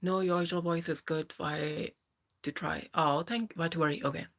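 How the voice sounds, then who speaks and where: A woman's voice: low-pitched, steady tone, a little fast, a little hiss or hum. A woman in her thirties with a Pakistani English accent, chatting casually on a live stream and answering viewers by name. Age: 30s. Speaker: livestream host. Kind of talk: casual live chat reading viewer comments.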